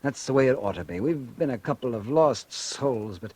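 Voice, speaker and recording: A man's voice: lively intonation, moderate pace, darkish tone, slightly rough, dry recording. An actor playing a bitter, vengeful man who narrates his story in a 1940s radio drama. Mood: earnest. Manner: brooding first-person narration, low and confiding. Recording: old radio broadcast with music.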